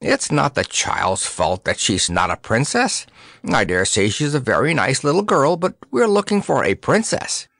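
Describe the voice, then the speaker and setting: A man's voice: mid-range voice, sing-song, moderate pace, gravelly, a bit bright, dry recording, voice pushed up, heavily acted. A man voicing a blunt, cantankerous old grandpa in a storybook. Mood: cool, dismissive. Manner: blunt, indignant.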